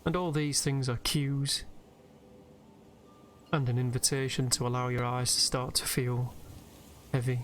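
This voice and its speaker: A man narrating a guided meditation over gameplay in a gentle, unhurried, soothing way with pauses. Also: medium pitch, flat delivery, slight background noise. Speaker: guided relaxation host and gamer. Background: soft background music from a video game.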